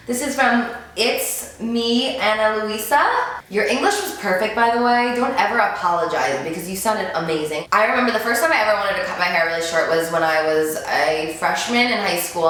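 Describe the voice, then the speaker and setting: A woman's voice: lowish voice, fairly bright tone. A woman in her twenties, a beauty vlogger, chatting casually to camera and answering viewer questions. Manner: chatty, gushing, many "like"s and "um"s. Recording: very roomy echo.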